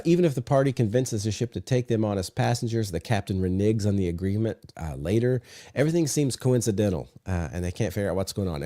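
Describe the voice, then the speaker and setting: Male podcast host with a cold, deep mellow tone and a dry sound, laid-back delivery. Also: no room sound. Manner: relaxed, many filler "uh"s. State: sick, congested low voice. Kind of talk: casual podcast chat and reading aloud.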